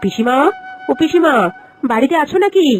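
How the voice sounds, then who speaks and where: A woman voices a caring village housewife in a cartoon moral story. Her high voice moves widely up and down, earnest and expressive, over faint background music. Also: moderate pace. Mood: resolute. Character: caring, cautious village housewife, the elder sister-in-law.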